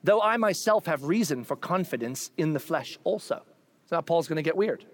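Pastor, male, lively sermon delivery with jokes and voiced asides, slightly higher pitch. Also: moderate pace, no room sound, clean studio sound. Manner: animated, voices quoted figures with playful humor.

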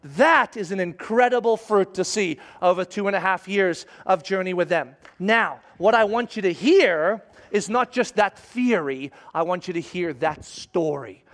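A man's voice: high voice, animated, measured pace, few pauses, fairly bright tone. A middle-aged man, a pastor, giving an emphatic sermon and telling stories to his congregation. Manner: emphatic, storytelling preaching.

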